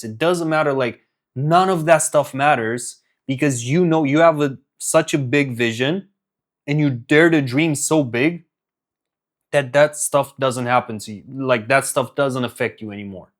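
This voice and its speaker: A young man from Romania giving a casual, earnest motivational talk as a business coach, with frequent fillers and restarts. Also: medium pitch.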